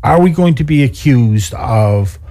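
A man's voice: mid-range voice, animated, a little slow, dark tone. A man with an Irish accent interviewing a guest, asking probing questions with some hesitation. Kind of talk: conversational interview.

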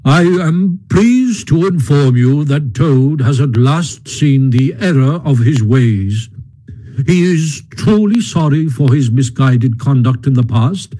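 A man's voice: a little high, warm tone, rough recording. A man voicing a stern, fatherly badger in a storybook, speaking in a solemn, firm, speechifying way. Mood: kindly, solemn.

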